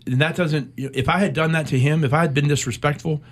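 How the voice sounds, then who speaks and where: A middle-aged American man, a military veteran, recounting a personal war story in an interview with measured, reflective pacing and frequent pauses. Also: fairly high pitch, steady tone. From United States.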